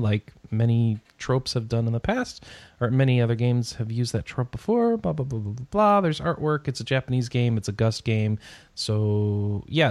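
A man's voice: mid-range voice, no echo. A man hosting a casual podcast, reading out gaming news with rambling, sarcastic asides that trail off into mumbles.

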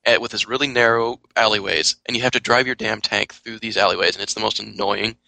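A man's voice: fairly low pitch, fairly even intonation, fast, creaky, sharp tone, poor audio. A man chatting casually about games as a podcast panelist, informal and prone to swearing. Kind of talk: casual gaming chat.

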